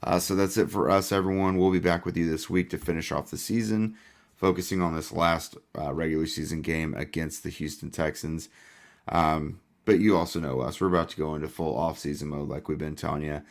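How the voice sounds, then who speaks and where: A man hosting a casual sports podcast, hoarse and losing his voice, with a laid-back delivery full of "uh" fillers. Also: very deep voice, fairly even intonation, fairly quick. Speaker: podcast host.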